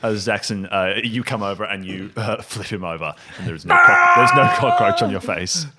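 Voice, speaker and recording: Animated, bright-voiced man as game master. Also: fairly low pitch, moderate pace. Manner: animated, frequently breaking into laughter. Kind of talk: improvised narration of a comedy tabletop game.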